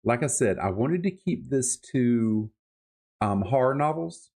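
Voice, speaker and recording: A man's voice: medium pitch, expressive, unhurried, vocal fry, a little mellow. A man chatting casually and emphatically about books as a podcast host, with enthusiastic, colloquial delivery. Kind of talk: casual book-review chat.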